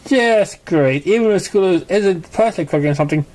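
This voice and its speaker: A man voicing an earnest, exasperated young country filly from a cartoon story, in a folksy, fretful, halting way. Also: high voice, lively intonation, a little mellow, voice pushed up.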